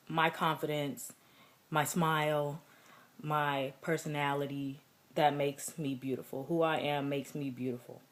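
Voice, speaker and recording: A young woman with a deep voice, talking earnestly and reflectively in a personal vlog, with many fillers. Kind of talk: personal vlog contest entry.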